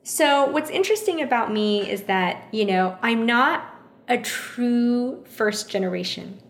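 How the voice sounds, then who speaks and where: A thoughtful woman, born in the United States with Vietnamese as her first language, answers interview questions at a measured pace and often repeats a phrase to stress a point. Her voice has a gravelly, creaky edge. The recording is roomy and echoey. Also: fairly high pitch, expressive. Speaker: interview guest.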